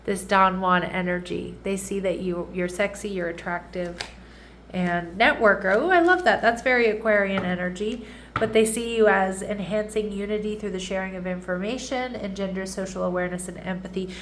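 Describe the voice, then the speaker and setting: A woman's voice: mid-range voice, normal speed, a bit bright, a little hiss or hum. Congested, nasal-sounding woman reading tarot. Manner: chatty, checks in often with the audience. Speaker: tarot reader. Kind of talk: casual tarot reading monologue.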